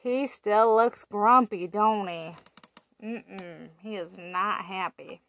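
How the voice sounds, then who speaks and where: A woman's voice: mid-range voice, a bit bright. A woman in her thirties reading a picture book aloud to toddlers, asking them questions in a sing-song way, recorded on a webcam in a small room. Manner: slow, sing-song, asks little listeners questions. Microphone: webcam at arm's length.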